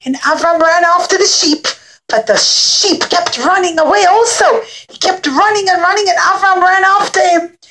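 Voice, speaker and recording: Female children's storyteller with a high voice, simple phrasing, direct address to young listeners. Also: normal speed, sharp tone. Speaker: children's puppet show storyteller.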